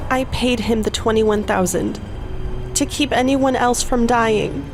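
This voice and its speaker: An actress playing an anxious, caring love interest in an audio drama, with a pleading, concerned manner. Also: female voice, on the high side, fairly even intonation, measured pace, over music, close to an everyday voice. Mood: distressed.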